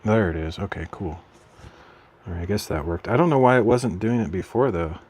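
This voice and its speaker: A man streaming from his craft room at home, chatting casually while he works, rambling and correcting himself in a mild, low-key way. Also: brisk, a little mellow, a little hiss or hum.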